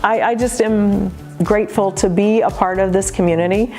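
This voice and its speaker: A woman's voice: medium pitch, steady tone, moderate pace, a little mellow. A woman who owns a quilt shop, giving an earnest, emphatic customer testimonial. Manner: earnest, emphatic, restarts when moved. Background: faint background music.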